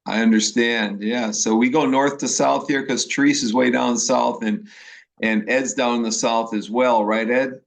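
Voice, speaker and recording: Chatty Midwestern professor on a call. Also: male voice, mid-range voice, fairly even intonation, gravelly. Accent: Upper Midwest American. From Milwaukee, Wisconsin. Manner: chatty, with restarts and pauses. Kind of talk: online class orientation. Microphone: video call.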